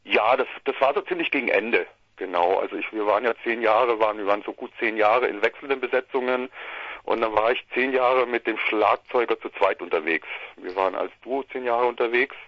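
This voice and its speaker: A man's voice: medium pitch, a little fast, dry recording. A middle-aged man from southern Germany, a musician chatting casually in a phone interview and rambling with many restarts. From Bavaria/Swabia, Germany. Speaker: musician guest. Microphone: phone line. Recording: muffled phone line, dry.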